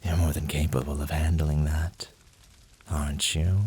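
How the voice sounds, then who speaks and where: A man performs a fiery, flirtatious incubus in an ASMR roleplay. The voice is low and dark, with a husky breathiness. He is playful and passionately devoted, drawing out his teasing, sultry lines and often dropping into whispers. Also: level tone, normal speed, full character voice. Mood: bold, seductive.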